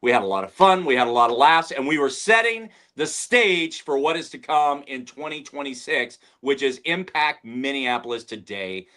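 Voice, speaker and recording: A man's voice: medium pitch, expressive, moderate pace, hardly any pauses, sharp tone. A man hosting a podcast over a video call, upbeat and joking, heaping praise on his guest. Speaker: podcast host. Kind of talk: casual interview chat.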